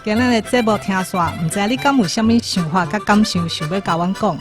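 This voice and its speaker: Taiwanese woman announcing over clear music. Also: mid-range voice, fairly quick. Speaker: radio drama actress addressing listeners. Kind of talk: scripted radio announcement.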